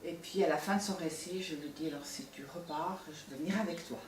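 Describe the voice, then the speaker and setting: A woman's voice: low-pitched, a little fast, darkish tone. A woman in her sixties speaks in a testimonial interview. Her voice is picked up from a distance in an echoey room. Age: 60s. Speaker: nursing assistant, volunteer. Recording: roomy, distant mic, faint noise.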